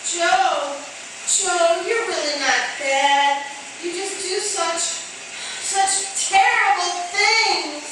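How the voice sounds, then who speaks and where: A woman playing a dazed, distraught wife rambling haltingly in a radio drama. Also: very high voice, full character voice. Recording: echoey, distant, noisy.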